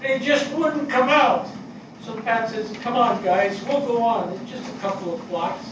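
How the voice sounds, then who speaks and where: An older man playing a grieving, bewildered fire captain in a staged dramatic reading, speaking plainly and haltingly. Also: extremely high pitch, recorded from a distance. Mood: intense recounting. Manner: plain-spoken, halting, searching. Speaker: actor in a staged play reading. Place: small indoor theatre stage before an audience. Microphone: distant camera mic. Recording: echoey stage picked up from afar, noisy.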